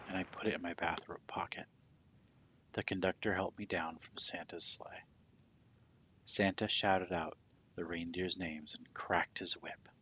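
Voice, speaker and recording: A man reading a children's story aloud expressively in a husky, breathy voice, with a hushed, dramatic and deliberate delivery. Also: sits low, a little hiss or hum. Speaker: storybook reader.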